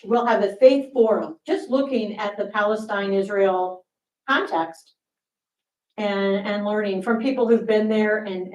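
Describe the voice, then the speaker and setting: A woman pastor, giving casual announcements and opening worship in a church sanctuary. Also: mid-range voice. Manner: conversational, relaxed with fillers. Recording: roomy church sanctuary from a distance.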